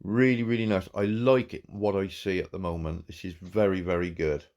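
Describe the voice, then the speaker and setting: A man, croaky from a cold, giving halting, warmly praising commentary on a farm game. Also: low-pitched, steady tone. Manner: halting, repeats words, warm praise. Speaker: farm game channel host. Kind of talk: casual gameplay commentary.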